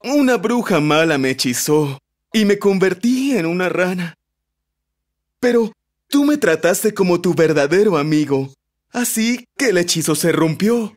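A man voicing a gentle, grateful young prince, earnest and expressive, in a children's storybook cartoon. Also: sits high, unhurried.

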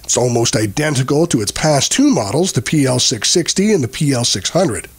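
A man's voice: mid-range voice, expressive, a little fast, breathy edge. A man reading a scripted voice-over as the narrator of a product review.

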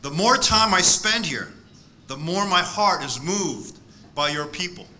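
A man, delivering a measured, emphatic keynote speech at a podium microphone in a conference hall. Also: sits high, lively intonation, pushed and bright. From born in South Korea, raised in the United States. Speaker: keynote speaker. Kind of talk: formal prepared speech.

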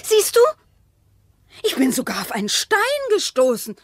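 A sceptical, needling wife in a radio drama. Her high voice moves in animated swings as she argues with precision. The sound is close and dry. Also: moderate pace, slightly rough, no room sound, heavily acted. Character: nagging, sceptical wife in a loveless marriage. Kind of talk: German radio play acting.

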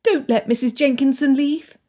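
Woman voicing a high-pitched, headstrong young girl. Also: normal speed, dark tone, close to an everyday voice. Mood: insistent. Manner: earnest, insistent, pleading. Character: headstrong, impulsive young girl of genteel birth. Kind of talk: dramatised audiobook dialogue.

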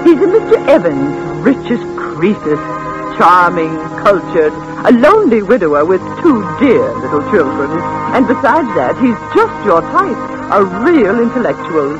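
A gushing, bossy matchmaking sister with a high, wildly swooping sing-song voice, played by an actress. The old radio recording sounds muffled, with music playing behind her. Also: sing-song, normal speed, close to an everyday voice. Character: fussy matchmaking older sister, socialite. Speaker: Mrs. Chumley. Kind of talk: 1940s radio acting.

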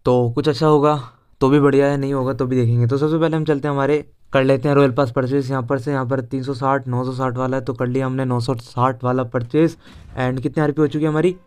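A man's voice: medium pitch, level tone, quick pace, a little mellow, clean tone. A young man with an Indian accent giving casual, chatty game walkthrough commentary as a video host. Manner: informal, chatty, mixes Hindi with English words.

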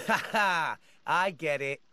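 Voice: mid-range voice, slow, bright, forceful tone, a little hiss or hum. An actor playing an upbeat teenage boy adventurer in a video game, friendly, eager and plain-spoken. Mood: cheerful explaining. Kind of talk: animated video game acting.

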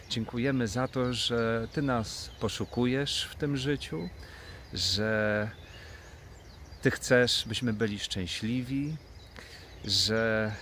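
A man in his thirties presenting a children's Bible programme with addresses and prayer, recorded on a phone outdoors in woodland. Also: mid-range voice. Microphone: phone at arm's length. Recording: faint outdoor noise.

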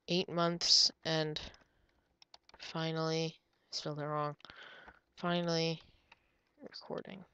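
Young woman, nasal and stuffed up from a cold, slow rambly game chat, lowish bright voice. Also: lo-fi sound, slight background noise. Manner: chatty, rambling, drifts into murmurs. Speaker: gamer. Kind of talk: casual gameplay commentary.

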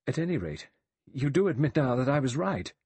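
A man's voice: medium pitch, very animated, brisk, darkish tone, slightly rough, dry recording, voice pushed up. A man voicing a frightened, desperate woman in an audiobook, with urgent and anxious pleading.

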